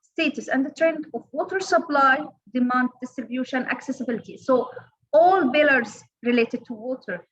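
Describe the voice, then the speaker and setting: High-voiced Egyptian woman trainer, halting. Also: fairly bright tone, slightly rough, dull audio. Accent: Egyptian Arabic. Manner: halting, many fillers and restarts. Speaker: workshop trainer. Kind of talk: online training lecture. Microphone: online call audio.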